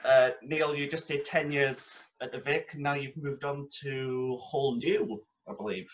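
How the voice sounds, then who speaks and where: A man's voice: mid-range voice, fairly even intonation, measured pace, a little hiss or hum. Dull lo-fi recording of a male podcast host. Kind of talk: informal interviewing.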